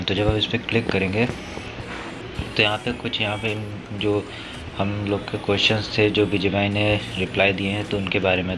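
A man with an Indian accent giving casual gaming news commentary, talking informally to his viewers like brothers. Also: sits low. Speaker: gaming YouTuber. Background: music behind the voice.

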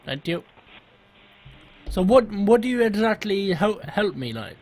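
A man making a prank phone enquiry in a hesitant, halting, polite way. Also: sits high, big pitch swings, darkish tone, faint music behind. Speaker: prank caller.

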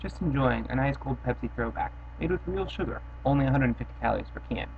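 Young adult male storytime host, casual chatter then a steady rhythmic read; gravelly voice, bedroom webcam with faint hiss. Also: mid-range voice, fast, narrow, tinny audio. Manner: casual, offhand chat with fillers, then steady rhythmic reading. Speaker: host reading children's poems. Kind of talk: reading a rhyming poem aloud. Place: bedroom, indoors. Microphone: built-in webcam mic.

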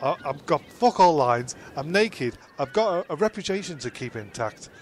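A man's voice: fairly high pitch, expressive, normal speed, a little hiss or hum, close to an everyday voice. A man playing a grumpy, vain, foul-mouthed castaway ex-pilot in a comedy audio show, ranting and stumbling over lines. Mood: aggrieved ranting. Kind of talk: comedy audio drama with ad-libs.

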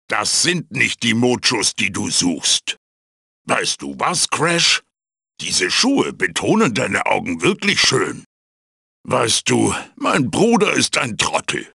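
Gravelly, swooping old mask spirit. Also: male voice, medium pitch, huge pitch swings, slow, bright, forceful tone, no echo, clean studio sound, heavily acted. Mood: playful, wry. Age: older. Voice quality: raspy, gravelly. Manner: theatrical, emphatic, exhorting. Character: wise, bossy old magical wooden mask mentor. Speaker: guide and tutorial mentor. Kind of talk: video game character voice acting.

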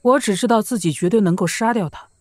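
A woman's voice: mid-range voice, fast, a little mellow, no echo, close to an everyday voice. A woman playing a deadly, sardonic young swordswoman in a Chinese wuxia audio drama, speaking in a terse, matter-of-fact way. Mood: terse, resolute.

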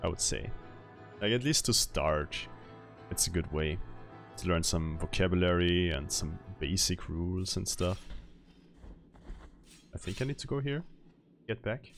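A man's voice: sits low, lively intonation, unhurried, pauses often, faint music behind. A young man streaming a game in a casual, upbeat way, often laughing and answering his chat, talking into a studio mic in a small room. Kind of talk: casual livestream chat while gaming. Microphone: studio mic on arm, webcam framing.

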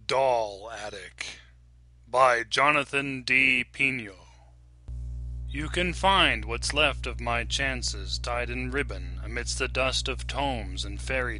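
A man's voice: medium pitch, pushed and bright. A man reading scripted fiction aloud as a dramatic narrator. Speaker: story reader and narrator.

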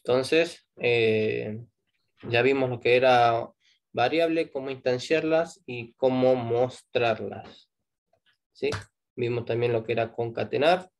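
A man's voice: mid-range voice, steady tone, slow pace. A man with an Argentine Spanish accent teaching a live online programming class, explaining step by step and checking in with "¿sí?" after each point.

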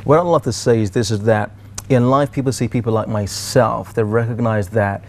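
A man's voice: mid-range voice, steady tone, moderate pace, a little mellow. A young man with a British accent speaking as an interview guest in an emphatic, oratorical, motivational style. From United Kingdom. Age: young adult. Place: TV studio.

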